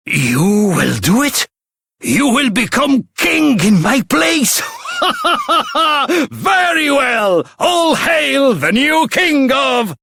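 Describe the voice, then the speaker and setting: A man's voice: very high voice, vocal fry, sharp tone, husky, no echo, clean studio sound, heavily acted. A man playing a pompous, exuberant king in a fantasy video game, with grandiose theatrical delivery. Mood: exultant.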